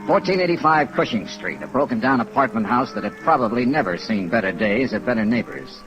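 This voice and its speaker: An actor playing a wry, hard-boiled American insurance investigator, clipped and laconic, in 1950s radio detective style. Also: male voice, mid-range voice, animated, fairly quick, lo-fi sound, music in the background, natural voice. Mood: wry narrating. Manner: clipped, laconic, matter-of-fact. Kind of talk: 1950s radio detective acting, first-person narration. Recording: noisy vintage 1950s radio recording.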